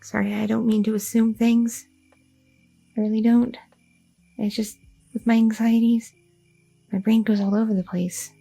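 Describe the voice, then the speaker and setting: A woman's voice: on the high side, little pitch movement, normal speed, creaky, long pauses, dark tone, husky, soft background music, rough recording. A woman playing an anxious, devoted young goth girlfriend in an ASMR audio roleplay, hesitant and rambling, often repeating herself. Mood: apologetic, affectionate. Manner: hesitant, stammering, self-interrupting, repeating words.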